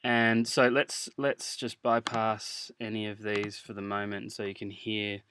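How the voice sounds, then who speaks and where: Low-voiced man with a bright edge. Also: level tone, a little slow. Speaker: music production instructor. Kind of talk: informal software tutorial. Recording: clear background noise, mouse clicks.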